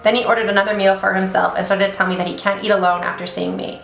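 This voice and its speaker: A woman's voice: medium pitch, flat delivery, fast talker, vocal fry, a bit bright, reverberant, slight background noise. A young woman hosting a beauty channel, chatting casually and reading a story aloud, with frequent swearing in her asides. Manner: chatty, swears freely in reactions.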